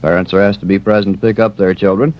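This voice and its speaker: Male announcer, lowish voice, formal bulletin read, dull vintage radio sound. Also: steady tone, quick pace. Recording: muffled old radio recording.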